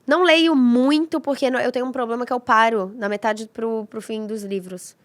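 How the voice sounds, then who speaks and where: A woman guest chatting casually and telling stories in a hoarse voice. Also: a little high, steady tone, normal speed, no room sound. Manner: chatty, storytelling with quoted voices and laughter. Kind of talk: casual chat.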